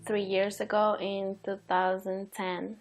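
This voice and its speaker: A woman's voice: medium pitch, level tone, takes it slowly, over loud music. A young woman from Mexico, recounting her story in a documentary interview.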